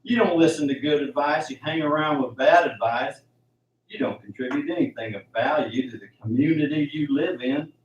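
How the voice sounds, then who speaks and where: A man's voice: a little high, moderate pace, close to an everyday voice. A man playing a bitterly angry father in an amateur radio drama, scolding in folksy rural phrases. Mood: scornful, angry. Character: harsh, bitterly angry father berating his teenage son. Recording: dull, roomy, distant, faint hiss.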